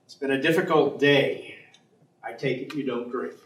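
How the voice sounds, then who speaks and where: A man's voice: medium pitch, moderate pace, natural voice. Dry, sceptical professor played by a man, echoey. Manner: terse, dry, educated diction. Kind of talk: staged play reading, dialogue. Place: theatre stage. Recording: echoey stage, distant microphone.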